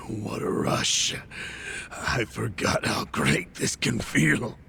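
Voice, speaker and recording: An actor playing a sadistic, gloating gangster villain in an English video game dub, with a gravelly voice and a sneering delivery. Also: male voice, mid-range voice, sharp tone, slight background noise, exaggerated voice. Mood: manic gloating.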